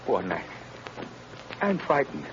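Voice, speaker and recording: An actor in a 1930s radio drama playing a terrified condemned young man, strained, breathless and halting as he pleads. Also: mid-range voice, huge pitch swings. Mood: frightened. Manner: breathless, halting, repeating himself. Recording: muffled, noisy vintage radio recording.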